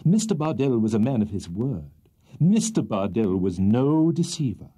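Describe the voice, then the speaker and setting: A man's voice: medium pitch, sing-song, normal speed, dark tone, breathy edge. A man voicing a pompous, bombastic barrister haranguing a jury with theatrical indignation in an audiobook of a Victorian comic novel. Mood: solemn, pleading. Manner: theatrical oratory, indignant and swelling.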